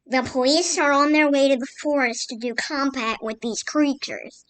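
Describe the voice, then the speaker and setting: A woman reading a scripted mock emergency broadcast as an announcer, in a formal, halting manner. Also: high voice, fairly bright tone.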